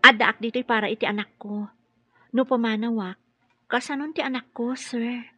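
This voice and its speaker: Bright, husky, dutiful nurse. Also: female voice, a little high, steady tone, moderate pace, no echo, faint music behind. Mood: worried. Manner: respectful, earnest. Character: dutiful, deferential nurse and caregiver, a young mother. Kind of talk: radio drama acting.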